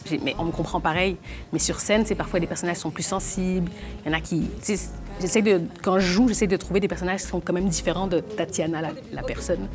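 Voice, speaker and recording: A young adult woman from Belgium, talking fast with many restarts in a documentary interview. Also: on the low side, steady tone, over music. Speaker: actress and playwright.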